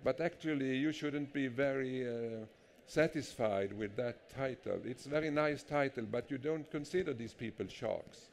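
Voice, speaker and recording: Gravelly male interviewer. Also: medium pitch, slight background noise. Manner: hesitant, measured questions with fillers. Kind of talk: conversational interview on stage. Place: public talk event.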